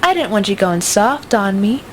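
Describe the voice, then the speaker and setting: A woman's voice: mid-range voice, sing-song, normal speed, a little hiss or hum, lightly acted. A woman playing a playful, teasing young debater who is fond of her rival, in a radio drama.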